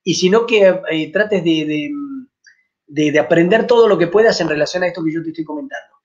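A man's voice: fairly high pitch, a little fast. Argentine man, 40s, stream host, rambling filler-heavy chat, muffled lo-fi webcam sound. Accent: Argentine Spanish. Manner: chatty, many fillers and restarts. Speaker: fly fishing channel host. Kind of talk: live stream Q&A. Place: home room, indoors. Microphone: webcam at desk.

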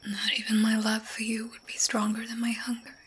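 A woman playing a young, tormented, lovesick vampire in an ASMR roleplay, breathy and often whispering, halting and intense. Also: a little high, completely flat delivery, fairly bright tone, slight background noise, natural voice. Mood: anguished, intense. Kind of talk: ASMR roleplay, dramatic monologue.

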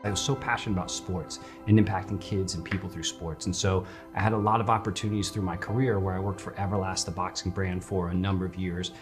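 A man's voice: low voice, steady tone, fast talker, hardly any pauses. A man speaking passionately and conversationally as a company executive in a promotional interview. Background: music.

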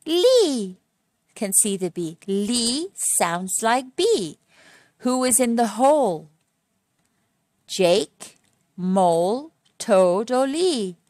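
A woman's voice: medium pitch, dry recording, studio quality. A woman reading a picture book aloud as a phonics lesson for young children, teacherly, setting out words one by one and putting questions to her listeners. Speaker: children's phonics storytime reader.

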